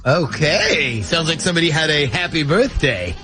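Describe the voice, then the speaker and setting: A male radio host with a slightly high voice and animated intonation. He interviews in a conversational, probing way, recapping and questioning, with music underneath. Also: moderate pace. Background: background music.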